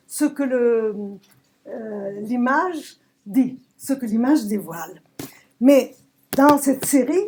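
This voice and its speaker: A woman from southern Italy, a poet giving a reflective talk about her work, searching for words with emphatic pauses. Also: on the high side, vocal fry, dark tone, breathy edge. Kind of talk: reflective talk at a poetry recital. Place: public library event.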